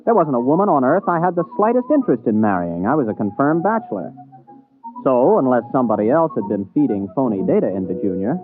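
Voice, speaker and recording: A wry bachelor space scientist played by a male actor talks in a medium-pitched, emphatic, conversational voice. The style is 1950s radio drama. The sound is narrow and old, with music faintly behind. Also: natural voice. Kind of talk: 1950s radio drama acting and first-person narration.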